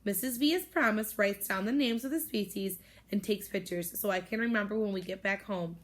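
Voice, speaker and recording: A woman teacher reading a novel aloud to her students, with expressive narration. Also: brisk. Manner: expressive, raises pitch for girls' voices. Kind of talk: storybook read-aloud with character voices.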